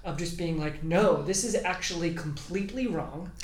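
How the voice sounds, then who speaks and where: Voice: medium pitch, normal speed. A podcast host chatting in a rambling, emphatic, informal way, filling sentences with "like" and "you know". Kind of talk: casual conversational interview. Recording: roomy echo with clear background noise.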